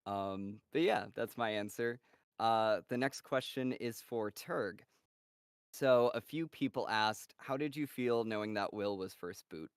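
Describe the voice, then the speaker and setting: A man hosting a casual live-stream Q&A, reading out fan questions in a relaxed way with lots of "um"s. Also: lowish voice, measured pace, clear, resonant tone, no echo, clean studio sound. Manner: relaxed, filler-heavy, reads questions aloud.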